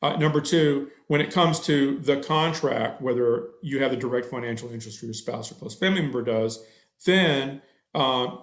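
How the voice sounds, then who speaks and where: A man's voice: medium pitch, roomy sound. Long-winded man explaining on a video call. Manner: thorough, slightly long-winded, many fillers. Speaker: attorney answering board questions. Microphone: headset on a video call.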